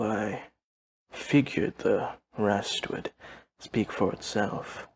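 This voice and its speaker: Deadpan, gravelly vampire manservant. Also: male voice, fairly low pitch, exaggerated voice, rough recording. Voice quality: gravelly, breathy husk. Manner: formal, measured, deadpan, no contractions. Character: emotionless, courteous old vampire manservant, devoted to his mistress. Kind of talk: audio roleplay acting, addressing the listener.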